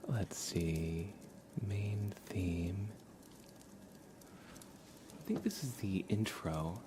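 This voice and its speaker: Low-voiced man chatting casually on stream. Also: drawn-out words. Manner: unscripted, thinking aloud. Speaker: livestream host. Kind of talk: casual livestream chat.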